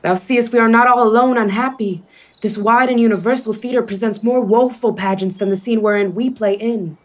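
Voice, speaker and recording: A woman's voice: fairly high pitch, fairly quick, close to an everyday voice. Woman acting noble commanding duke, faint noise. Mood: gracious. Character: noble, commanding duke of Shakespeare's forest court. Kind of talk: Shakespearean verse acting for radio play.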